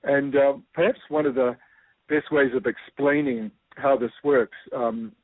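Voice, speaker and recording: A man's voice: mid-range voice, fairly even intonation, measured pace, no echo. A man with a New Zealand accent, a guest explaining ideas through a personal story, thoughtful and full of fillers. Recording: tinny phone-like line.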